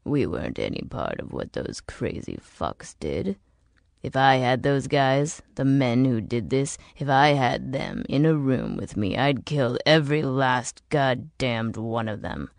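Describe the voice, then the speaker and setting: A woman voicing a bitter, world-weary older man in an audiobook, explaining grim things in a measured, emphatic way. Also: rumbling low, animated, gravelly, dry recording, clean studio sound, voice pushed down, exaggerated voice. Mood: seething. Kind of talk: audiobook character dialogue.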